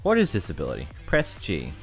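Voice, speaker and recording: A man's voice: deep voice, wildly swooping pitch, a little fast, vocal fry, faint music behind. A young Australian man casually commenting on a video game, chatty and self-mocking, tripping over words as he reads. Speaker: gaming YouTuber.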